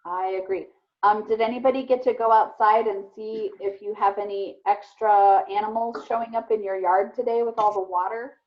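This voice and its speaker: A woman's voice: medium pitch, steady tone, moderate pace. A woman teacher talking casually and encouragingly to children on a video call, often praising and affirming them. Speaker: elementary teacher hosting an online read-aloud. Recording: muffled, band-limited video call audio.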